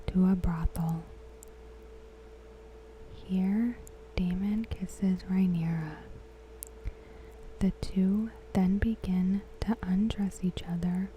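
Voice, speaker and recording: A woman softly whispering a scripted recap as an ASMR host, breathy, hushed and deliberate. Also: medium pitch, highs cut off, slight background noise. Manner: measured, deliberate, hushed delivery.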